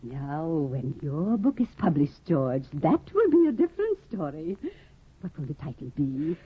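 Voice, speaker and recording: An actress playing a fussy, doting elderly aunt in a 1950s radio drama, gushing and prying. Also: female voice, deep voice, very animated, unhurried, husky, no room sound, full character voice. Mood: fond. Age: older. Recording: tinny old radio broadcast.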